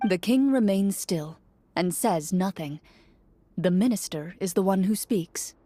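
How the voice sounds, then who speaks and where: A woman acting as a composed game master, narrating a fantasy adventure in a measured storytelling manner. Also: medium pitch, animated, warm tone, dry recording. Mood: measured, ominous. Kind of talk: scripted atmospheric narration. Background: background music.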